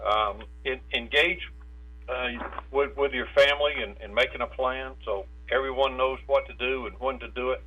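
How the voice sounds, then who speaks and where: An American man speaks over a thin, tinny telephone line with a mid-range voice. He gives practical advice as an interview guest, in a halting way, often doubling back on his words. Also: medium pitch, fairly even intonation, sharp tone. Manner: halting, repeats words and stumbles mid-phrase. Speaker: state insurance director, interviewed guest. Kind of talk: phone interview giving preparedness advice. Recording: tinny narrow phone line with hum.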